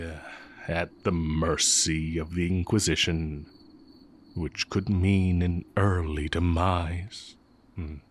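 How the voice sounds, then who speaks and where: A man playing an exiled heretic magic teacher, sly, brooding and tender, in an intimate, deliberate audio roleplay performance. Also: very deep voice, a bit bright, slight background noise, full character voice. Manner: deliberate, teasing, with long pauses.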